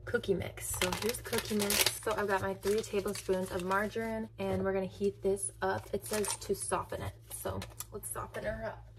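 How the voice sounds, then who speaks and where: A woman's voice: medium pitch, little pitch movement, moderate pace, hardly any pauses, background noise. A young woman chatting casually through a bake-with-me vlog in her home kitchen, narrating each step as she goes. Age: young adult. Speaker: vlogger.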